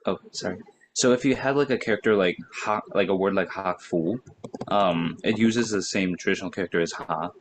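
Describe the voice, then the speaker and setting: Over noticeable background noise, a man speaks in a low voice. He is a workshop participant, casually asking questions and acknowledging answers. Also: a little fast.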